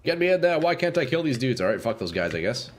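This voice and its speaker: A young man doing casual live commentary while playing a game, a bit congested and clearing his throat often, swearing and talking to chat. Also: medium pitch, very animated, fast, slight background noise. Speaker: game streamer.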